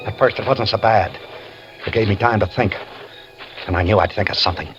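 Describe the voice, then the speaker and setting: A man's voice: lowish voice, fairly quick, over music, close to an everyday voice. An actor playing a handcuffed escaped prisoner who narrates his story in wry, tense first person, in 1940s radio acting style. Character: handcuffed escaped male prisoner, wary and scheming. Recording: dull old radio broadcast.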